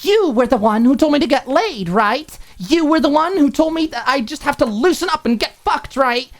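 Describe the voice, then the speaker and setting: A woman's voice: sits high, animated, moderate pace, voice pushed up. A woman voicing a furious, hurt young roommate in an audiobook, firing off sarcastic, emphatic rhetorical questions. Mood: exasperated rant. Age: young adult. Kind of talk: audiobook character acting.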